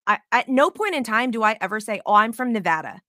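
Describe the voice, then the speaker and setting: Woman podcast host, bright and punchy, loose and profane, dry close mic. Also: fairly high pitch, fast, no echo. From Las Vegas, United States. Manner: excitable, swears freely, animated storytelling. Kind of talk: casual sports podcast chat.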